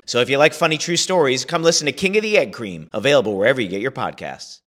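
A man's voice: mid-range voice, animated, quick pace, a bit bright, faint music behind. A man reading an upbeat, friendly advertisement for a comedy podcast. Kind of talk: podcast ad read.

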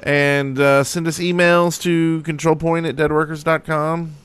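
A man's voice: a little high, little pitch movement, unhurried, a bit bright, clean tone. A man hosting a podcast in casual, joking chat. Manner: joking, playful. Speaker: podcast host. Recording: music playing behind the voice.

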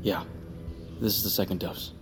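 An actor playing a calm, guarded medic and leader in an audio drama, measured and authoritative. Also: male voice, deep voice, over music, natural voice.

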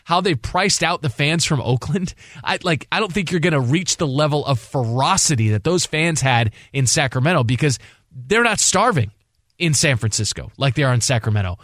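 A man's voice: a little high, expressive, normal speed, fairly bright tone, no room sound, clean studio sound. A man co-hosting sports talk radio, conversational and emphatic, often repeating words for stress.

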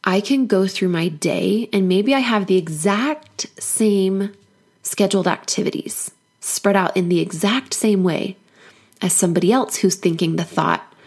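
A woman hoarse from a cold, giving an earnest, encouraging solo podcast talk as a life coach. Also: medium pitch, a little slow, a little mellow.

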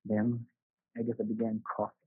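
Very husky, scheming henpecked husband. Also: male voice, lowish voice, completely flat delivery, fairly quick, no room sound. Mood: brooding, tense. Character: resentful, scheming henpecked husband confessing a murder. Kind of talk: old-time radio drama acting, confessional narration. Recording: muffled, tinny vintage radio recording.

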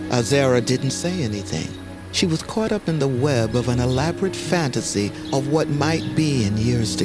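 A man performing a solemn storyteller of ancient legend in an audio drama. He speaks very slowly and lyrically, at a middling pitch, with music clearly playing behind him. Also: natural voice. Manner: measured, lyrical. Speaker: narrator. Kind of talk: scripted mythic storytelling narration.

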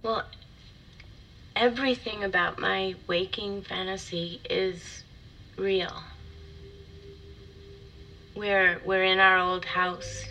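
An actress playing a wistful woman in an intimate audio drama monologue, confessional and halting, with a breathy delivery. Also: on the low side, sharp tone, slight background noise, full character voice, low-quality recording. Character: wistful, intimate divorced woman confiding a fantasy.

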